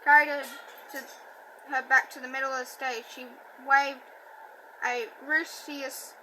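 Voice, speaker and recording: A woman reading a novel aloud, halting and self-correcting, with a stutter and a slight lisp. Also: high voice, level tone, sharp tone, a little hiss or hum, rough recording. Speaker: audiobook reader. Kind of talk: amateur book reading.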